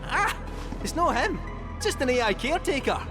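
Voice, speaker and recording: An actor voicing a small, plucky, cheerful talking cat with a Scottish accent and a jaunty manner in a video game. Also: male voice, very high-pitched, very animated, moderate pace. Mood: excited, plucky. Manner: jaunty, exclamatory, reassuring. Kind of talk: video game character acting. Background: music.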